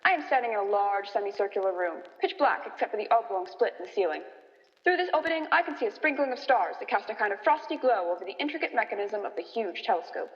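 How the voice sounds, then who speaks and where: A woman's voice: medium pitch, sing-song, fast, lightly acted. A woman playing a radio commentator who gives a descriptive, declamatory scripted report from the scene. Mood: descriptive, brisk. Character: brisk radio commentator reporting live on location. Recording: long hall reverb, dull sound.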